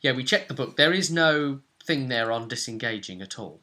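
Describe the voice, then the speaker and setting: A man's voice: mid-range voice, lively intonation, moderate pace, sharp tone. A man casually recapping a tabletop role-playing session as game master, chatty and often ending on tag questions.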